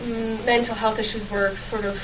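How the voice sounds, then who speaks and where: A woman's voice: a little high, level tone, normal speed. Young woman interviewee, distant and noisy. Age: young adult. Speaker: guest researcher, history PhD student. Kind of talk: conversational research interview. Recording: distant, dull, clearly noisy sound.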